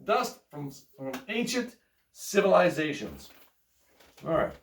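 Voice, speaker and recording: A man's voice: a little high, wildly swooping pitch, slow. A man reading a children's picture book aloud in a folksy, playful way, adding chatty asides and repeating himself. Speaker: bedtime story reader. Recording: roomy, echoey, distant microphone.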